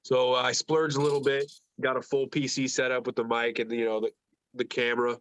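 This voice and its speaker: A man's voice: mid-range voice, level tone, brisk, fairly bright tone, low-quality recording. A man with a stuffed-up, congested nose from allergies, co-hosting a casual podcast with blunt, joking hot takes. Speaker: podcast co-host. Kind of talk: casual banter.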